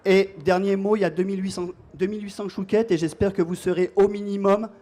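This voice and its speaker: A man, a baker, giving a friendly, joking thank-you speech with some halting fillers. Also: high-pitched, fairly even intonation, warm tone.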